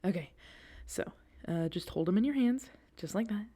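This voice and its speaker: A woman acting an excitable, reassuring young girlfriend on a date in an audio roleplay, talking casually to the listener with hesitant asides and eager repetition. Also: medium pitch, moderate pace, close to an everyday voice. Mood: casual, curious.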